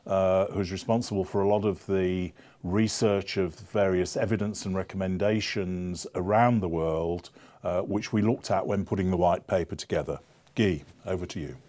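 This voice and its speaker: A man hosting a webinar, making brisk and polite handovers between speakers. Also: sits low, fairly even intonation, warm tone. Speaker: webinar host. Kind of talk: moderating a webinar.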